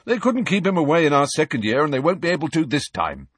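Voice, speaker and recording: A man's voice: a little high, big pitch swings, fast talker, a bit bright, slightly rough, dry recording, voice pushed up, full character voice. An earnest, confident schoolboy prefect, as a man reads him. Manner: confident, conspiratorial.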